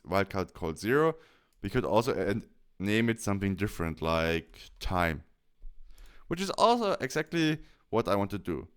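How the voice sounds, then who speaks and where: Low, smooth-voiced man explaining software. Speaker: tutorial presenter. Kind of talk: software tutorial screencast, explaining step by step.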